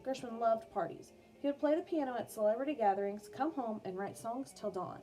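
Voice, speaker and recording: A woman reading an educational biography aloud for children as a music teacher, in a clear, measured storytelling manner. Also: fairly high pitch, mellow. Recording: music playing behind the voice. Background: background music.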